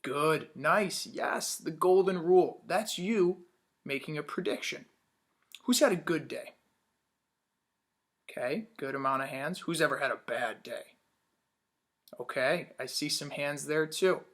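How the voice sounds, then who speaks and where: A man's voice: a little high, unhurried, pauses often, fairly bright tone. A man teaching young children in a read-aloud lesson, upbeat, asking questions and praising answers.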